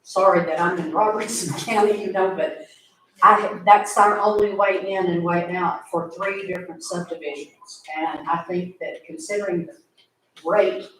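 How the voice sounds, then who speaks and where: Man, polite, measured public comment, fairly high voice, far from mic, very echoey room. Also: a bit bright, a little hiss or hum. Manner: polite, measured, with frequent filler pauses. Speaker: resident speaking at public comment. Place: county commissioners court meeting room.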